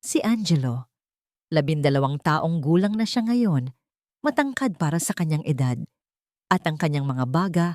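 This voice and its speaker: A woman narrates a dramatic audiobook story in the first person. Her voice sits low, and the sound is dry with hardly any room in it. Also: darkish tone, no room sound.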